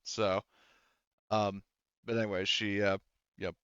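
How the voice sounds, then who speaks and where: A man working as game master, narrating a tabletop game in a casual, improvised, halting way. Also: on the low side, little pitch movement, fairly bright tone, clear, resonant tone, no room sound, lo-fi sound. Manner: halting, conversational.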